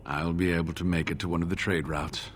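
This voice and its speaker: An actor playing a weary, earnest scholar in a fantasy video game, speaking in a measured, formal, rueful way. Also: male voice, low voice, level tone, a little hiss or hum, close to an everyday voice.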